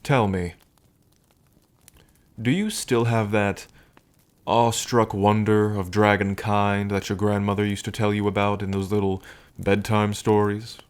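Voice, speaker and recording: Man acting a slow, low-voiced dragon king lover. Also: little pitch movement, clear, resonant tone, lightly acted. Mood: tender, teasing. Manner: unhurried, murmuring pauses, hums and soft chuckles. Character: smitten young male dragon king, tender and teasing. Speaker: ASMR roleplay performer. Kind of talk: slow intimate ASMR romance roleplay.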